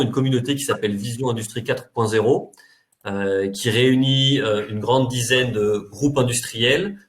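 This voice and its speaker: A man hosting a webinar and explaining his firm's work over a video call, with frequent fillers. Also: mid-range voice. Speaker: consultant, webinar host. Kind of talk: webinar presentation.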